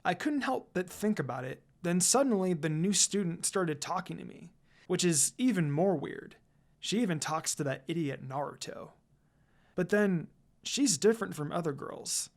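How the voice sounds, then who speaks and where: A woman's voice: on the low side, animated, moderate pace, a little mellow. A woman voicing a sullen, cool schoolboy in a subdued, aloof way for a fan fiction reading. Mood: subdued, puzzled. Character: sullen, cool schoolboy, a man of few words.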